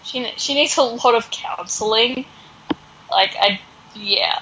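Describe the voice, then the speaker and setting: Woman, podcast host, bright sharp tone, casual recap, mocking, exasperated. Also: on the high side, a little slow, highs cut off, a little hiss or hum. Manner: exasperated, sarcastic.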